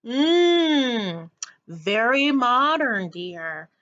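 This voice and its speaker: A distracted mother in a children's storybook, played by a woman reading aloud. She talks slowly and absent-mindedly, mildly dismissive, with an animated pitch. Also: lo-fi sound, full character voice.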